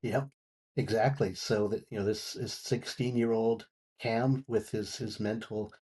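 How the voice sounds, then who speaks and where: Halting, husky man reviewing drama. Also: lowish voice, fairly even intonation, a little mellow. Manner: halting, repetitive phrasing. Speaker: guest reviewer on a talk show. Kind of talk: unscripted audio drama review.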